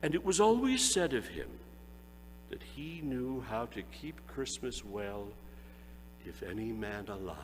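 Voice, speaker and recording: A man's voice: medium pitch, big pitch swings, unhurried, in a large hall, background noise. A man giving a dramatic storybook reading, relishing each phrase. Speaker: reader.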